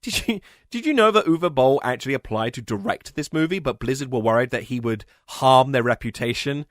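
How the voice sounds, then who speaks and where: A man's voice: mid-range voice, animated, a little fast, dry recording, clean studio sound. A man narrating a scripted comedic video essay as its host, with a sardonic, emphatic delivery.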